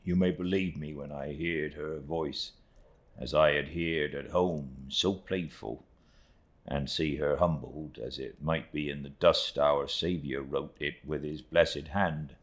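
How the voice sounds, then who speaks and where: A man's voice: rumbling low, steady tone, slow. A man voicing a humble, devout old seafaring uncle in an audiobook, with a rustic East Anglian dialect, earnest and plain-spoken. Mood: moved, earnest. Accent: rustic East Anglian English dialect. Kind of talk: audiobook character reading.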